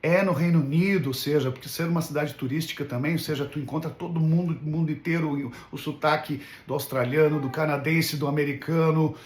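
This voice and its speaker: A man's voice: a little high, steady tone, fairly quick, few pauses. A man talking casually as an English teacher in an unscripted vlog, rambling and often checking for agreement with little tag questions. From Brazil. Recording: roomy, echoing room sound.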